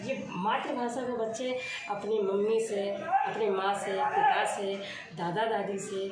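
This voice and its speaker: A woman's voice: medium pitch, takes it slowly. Woman teacher in an echoey room. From India. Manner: asks questions and answers them herself, repeats key points. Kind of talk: classroom grammar lesson. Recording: echoey room, far from the mic, steady noise.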